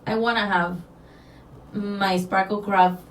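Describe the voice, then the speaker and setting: A woman's voice: mid-range voice, steady tone, takes it slowly. A woman from Mexico with a Mexican accent, a guest telling her story in a casual interview, earnest and rambling with restarts. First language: Spanish. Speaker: guest, designer. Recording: roomy, distant, faint background noise.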